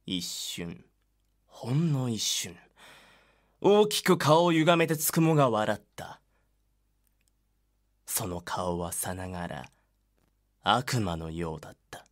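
A man's voice: fairly low pitch, lively intonation, slow pace, pauses often. A man giving dramatic scripted narration as the narrator of an audio drama.

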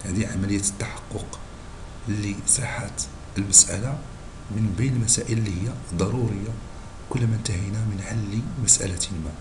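A male math teacher with a Moroccan Arabic accent, hoarse and husky from a cold, explaining a lesson slowly and patiently and checking in with his students. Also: on the low side, fairly even intonation, background noise. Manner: slow and patient, checking in with listeners. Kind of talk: screen-recorded math lesson.

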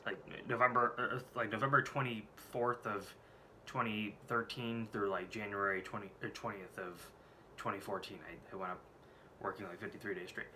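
Low-voiced man with a scratchy head cold. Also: level tone, normal speed, sharp tone. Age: late 20s. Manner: candid and rambling, swears freely. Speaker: vlogger. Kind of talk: casual personal vlog. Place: small room at home, indoors.

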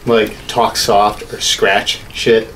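A man's voice: on the low side, flat delivery, slow, a bit bright, slight background noise, low-quality recording. A man in his thirties chatting casually as a podcast co-host, irreverent and swearing heavily. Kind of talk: casual chat. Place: small home studio room, indoors.

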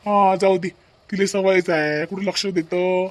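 A man voicing a mild, agreeable village husband in a family comedy cartoon, husky and gravelly, with a rural Vidarbha Marathi accent. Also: high-pitched, fairly even intonation, unhurried. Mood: easygoing. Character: mild, agreeable village husband who defers to his wife.

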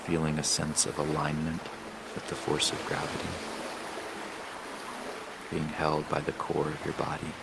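Very deep, gravelly man guiding meditation. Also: completely flat delivery. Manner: slow, soothing, measured delivery with long pauses. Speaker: meditation guide. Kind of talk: guided meditation narration. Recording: loud ambient water noise throughout. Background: flowing water and ocean ambience.

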